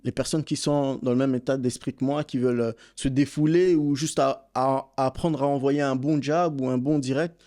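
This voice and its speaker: Relaxed Belgian man telling his story. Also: medium pitch, normal speed, few pauses. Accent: Belgian French. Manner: relaxed storytelling, many fillers. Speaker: boxing coach and content creator. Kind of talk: casual interview.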